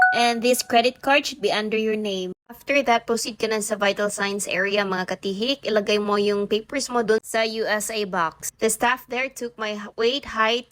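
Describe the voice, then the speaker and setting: A woman's voice: a little high, fairly bright tone. A woman nurse with a Filipino accent, narrating a chatty, upbeat vlog with practical tips and switching languages mid-sentence. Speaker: nurse and vlogger. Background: background music.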